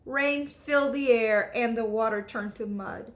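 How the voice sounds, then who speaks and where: A woman reading a children's story aloud like a teacher, expressive and deliberate, explaining things as she goes. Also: fairly high pitch.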